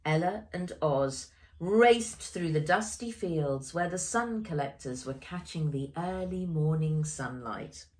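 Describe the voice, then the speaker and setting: A woman's voice: deep voice, slow, darkish tone. A woman narrating her own children's story expressively, speaking directly to young listeners. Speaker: author reading own story.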